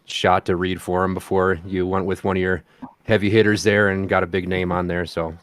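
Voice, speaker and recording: A man's voice: low voice, level tone, fairly quick. A man, co-creator and voice actor, chatting modestly on a group call. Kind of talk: casual chat on a group call. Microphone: video call.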